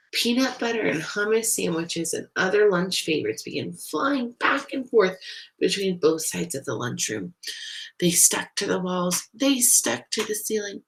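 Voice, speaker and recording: Woman storyteller, expressive children's read-aloud, very lively pitch, slow pace, creaky low-set voice. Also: rough recording. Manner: animated, deliberate, pauses to ask listeners questions. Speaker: storyteller reading a picture book aloud.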